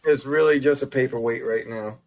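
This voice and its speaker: Raspy young man co-hosting a podcast. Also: medium pitch, a little mellow. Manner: halting, lots of fillers and restarts. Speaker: podcast co-host. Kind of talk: casual chat, reading news articles.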